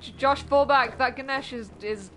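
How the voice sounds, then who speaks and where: A woman's voice: high voice, moderate pace, over a noisy crowd. A woman with a Yorkshire accent streaming a team game, calling orders to her teammates, swearing and laughing easily. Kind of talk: casual gaming chat. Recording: game music underneath.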